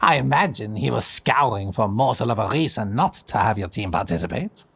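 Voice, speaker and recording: A man's voice: lowish voice, expressive, fairly quick, gravelly, a bit bright, husky, heavily acted. A man voicing a proud, menacing male vampire who speaks in a formal, theatrical way. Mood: measured. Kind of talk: audio drama roleplay.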